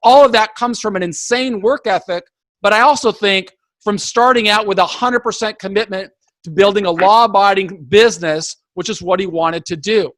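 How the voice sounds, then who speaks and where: A man with a fairly high voice hosts an interview, emphatic and motivational as he sums up lessons for his listeners. The sound is dry and close, over a video call. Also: moderate pace, fairly bright tone, dry recording. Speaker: host.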